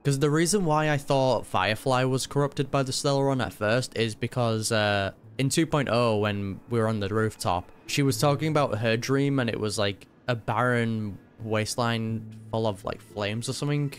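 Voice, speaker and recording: A man's voice: mid-range voice, normal speed, hardly any pauses, slight background noise. A man giving casual reaction commentary on a game, musing aloud with some hesitation.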